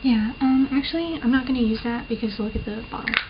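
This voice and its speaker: A woman's voice: a little high, quick pace. Young woman chatting through a makeup tutorial. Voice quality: slight lisp from the recording. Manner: chatty, self-deprecating, rambling with fillers. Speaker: beauty vlogger. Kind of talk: casual makeup tutorial chat. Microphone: built-in camera mic. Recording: muffled lo-fi camera mic with clear hiss.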